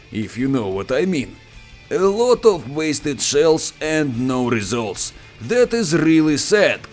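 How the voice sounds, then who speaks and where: A man with a Russian accent commentates a tank battle replay in a playful, teasing way. His pitch swings widely from line to line, and his voice sits a little higher than most men's. Faint music plays underneath. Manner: playful, joking, expressive with sudden pitch jumps. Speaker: gameplay commentator. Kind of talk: scripted game commentary voice-over.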